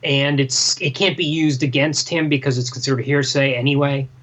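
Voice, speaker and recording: A man's voice: mid-range voice, level tone, normal speed, a bit bright. A man co-hosting a casual book discussion, hesitant and often repeating himself, on slightly dull lo-fi audio. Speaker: podcast co-host.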